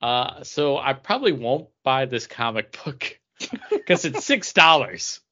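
A man co-hosting a comic book podcast in casual chat, with halting, stop-and-start phrasing. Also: medium pitch, sing-song, bright, forceful tone, lo-fi sound. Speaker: podcast co-host.

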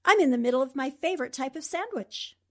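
Woman playing a high-voiced, plucky lady reporter. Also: lively intonation, quick pace, a little mellow. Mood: feigned cheer. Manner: composed, wry, defiant. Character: plucky, sharp-tongued female newspaper reporter. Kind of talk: radio drama acting.

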